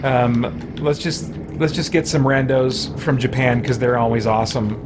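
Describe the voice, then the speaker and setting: A man's voice: fairly even intonation, measured pace. A man hosting a gaming livestream, chatting casually and thinking aloud in a rambling way. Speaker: livestream host. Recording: noisy background rumble.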